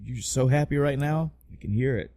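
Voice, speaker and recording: Male podcast host, mid-pitched, casual and rambling, emphatic with lots of cursing, dry recording. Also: fairly quick, mellow, dry recording. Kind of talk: casual podcast chat about video game movies.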